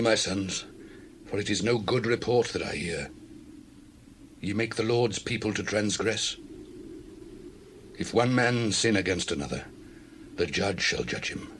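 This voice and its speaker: Very old Israelite priest, deep voice, slow, grave, audio scripture drama, ambient noise. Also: male voice, animated. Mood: grave rebuke.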